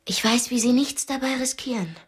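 Husky, anguished young captive woman. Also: on the high side, normal speed, no room sound, studio quality. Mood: insistent. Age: young adult. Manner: intimate, often dropping into breathy whispers. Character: young captive woman, educated, anguished, defiant, keeping a diary. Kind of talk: radio drama acting, intimate diary monologue and dialogue.

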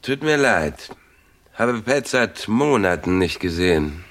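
Rasping, arrogant nightclub owner. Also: male voice, lowish voice, big pitch swings, takes it slowly, fairly bright tone, heavily acted. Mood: dismissive, suspicious. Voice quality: rasping, as if with a cold. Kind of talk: 1970s German radio crime drama.

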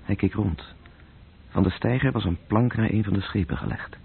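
A man narrating a literary radio drama in terse, measured phrases, with a gravelly, breathy voice. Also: on the low side. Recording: muffled, tinny old radio-play recording.

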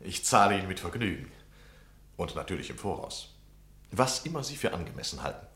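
Deep-voiced courteous gentleman, roomy sound. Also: big pitch swings, brisk, full character voice. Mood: obliging. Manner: polite, smooth, deferential. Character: courteous, eager younger gentleman journalist, flattering would-be lodger. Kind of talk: 1960 German radio play acting.

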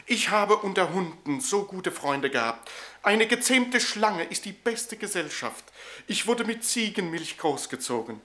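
A gentle, meek lover of animals in a radio drama. He speaks in a mild, soothing way, with simple earnestness. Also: high-pitched, big pitch swings, measured pace, exaggerated voice. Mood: gently pleading. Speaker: actor. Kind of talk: German radio play acting.

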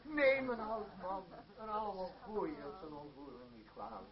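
A pompous old father in an old radio play. His sentimental oratory is slow and swoops high, with a crowd behind. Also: animated, dull audio. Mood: sentimental, theatrical. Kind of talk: radio drama acting.

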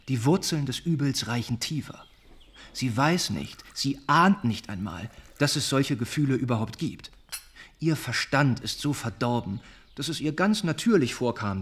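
An actor playing an earnest, wounded young gentleman who confides haltingly and reflectively in a radio drama. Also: medium pitch, slightly rough.

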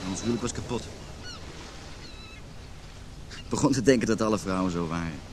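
A man's voice: lowish voice, normal speed, slight background noise, natural voice. An actor playing a disillusioned, self-pitying married man in his thirties in a naturalistic radio drama. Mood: rueful.

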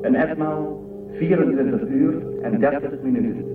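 A man's voice: on the high side, a little slow, natural voice. A man playing a formal, factual android aide in a science fiction radio drama, reporting with impersonal precision. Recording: distant echoey lo-fi band, music behind.

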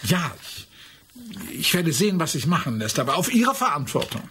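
A man's voice: on the high side, very animated, moderate pace, a bit bright, slight background noise. A man playing a polite, fussy tour guide in a radio play, hesitant but accommodating. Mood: flustered. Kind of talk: German radio play acting.

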